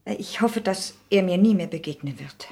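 A woman's voice: medium pitch, expressive, moderate pace, vocal fry, mellow. An actress playing an earnest, upright young Puritan woman in a 1960s German radio play, speaking with care. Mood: earnest, scornful. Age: young adult.

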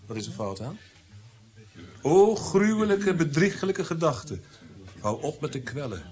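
An actor playing a jealous, tyrannical Renaissance prince in a radio drama, theatrical and mocking, moving between hushed menace and outbursts. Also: male voice, a little high, big pitch swings, unhurried. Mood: brooding, menacing.

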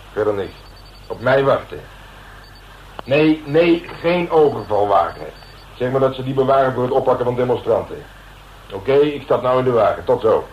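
An actor playing a cocky, hard-boiled police inspector in a radio drama, bossy and colloquial, with a self-satisfied way of talking. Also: male voice, mid-range voice, lo-fi sound, slight background noise, lightly acted. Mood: brisk, commanding. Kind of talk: Dutch radio drama acting.

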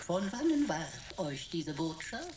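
A woman's voice: on the low side, big pitch swings, measured pace, a little mellow, slight background noise. A woman playing an eccentric, chattering computer sidekick in a radio play, talking in pompous, florid, archaic phrases with mocking sarcasm.

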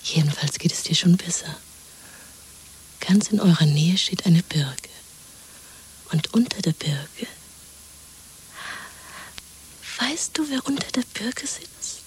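An actress playing a tender, dreamy young woman lover in a 1970s German radio drama, speaking in an intimate, coaxing, hushed whisper. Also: lowish voice, animated, unhurried, pauses often, a little hiss or hum, poor audio. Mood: tender, soothing. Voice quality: breathy, whispering.

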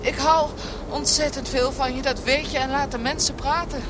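Radio drama role, female actor: loyal young woman, high-pitched, earnest and firm, audible noise behind. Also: fairly even intonation, moderate pace, natural voice. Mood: tender, insistent. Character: devoted young woman, tender, reassuring and firm. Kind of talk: Dutch radio drama acting.

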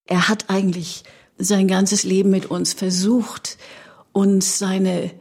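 A woman's voice: mid-range voice, flat delivery, slow pace, darkish tone, slightly rough. A woman reflecting on personal memories as an interviewee in a documentary.

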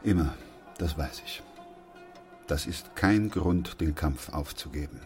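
A man playing a sober, weary, steadfast doctor in a German radio play, speaking in a grave, measured, reflective way. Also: a bass voice, a little mellow, faint music behind. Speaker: actor playing the narrator and lead.

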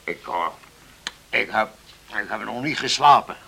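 A husky, gravelly seaman in a radio play, blunt and stubborn yet good-hearted. His voice has a forceful edge, and he talks in plain, gruff phrases. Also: fairly low pitch, expressive, measured pace, exaggerated voice. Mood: weary, grave. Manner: gruff, hesitant, plain-spoken. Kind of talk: radio drama dialogue.

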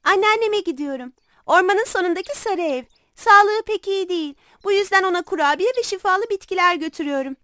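A woman's voice: extremely high pitch, fairly quick, a bit bright, no echo, clean studio sound. A woman voicing an innocent, polite little girl in a children's fairy tale cartoon, with a sweet, naive manner. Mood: polite, cheerful.

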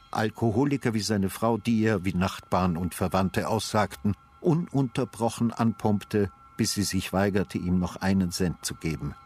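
An actor as the narrator of a melancholy detective radio drama, telling the story in a measured, sober way. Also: male voice, fairly low pitch, lightly acted.

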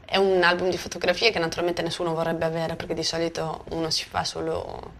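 Lowish-voiced woman, halting testimony. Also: monotone. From Bosnia. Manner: halting, reflective. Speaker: author, survivor giving testimony. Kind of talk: spontaneous personal testimony. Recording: faint ambient noise. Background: faint ambience.

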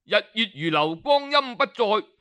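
A man's voice: high voice, very animated, measured pace, bright, forceful tone, clear, resonant tone, voice pushed up, heavily acted. A man voicing two ambitious young male disciples pleading earnestly with their teacher. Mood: eager, pleading.

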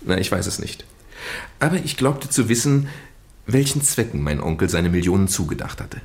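A man acting in a German radio drama as a British gentleman nephew, earnestly and haltingly confessing a well-meant deception in genteel, roundabout phrases. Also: deep voice, expressive. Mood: earnest, reminiscing. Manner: hesitant, roundabout, genteel storytelling with stammered repeats.

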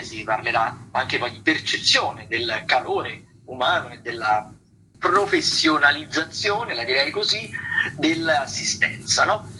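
A man's voice: medium pitch, noisy background. A man with an Italian accent giving informal theatre commentary on the radio in a hesitant, digressive, wry way.

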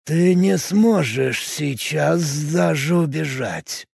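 A male narrator voicing a fanatical male cult adept who preaches in a slow, hushed, creaky murmur. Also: animated, dry recording, clean studio sound, heavily acted. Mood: solemn preaching. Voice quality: low, creaky, husky murmur. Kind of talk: audiobook character voice.

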